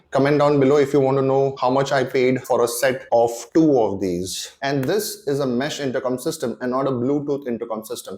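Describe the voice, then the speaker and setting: Slightly husky man presenting. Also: medium pitch, darkish tone. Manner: explanatory, step-by-step. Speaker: motorcycle vlogger and presenter. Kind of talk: casual unboxing and installation walkthrough.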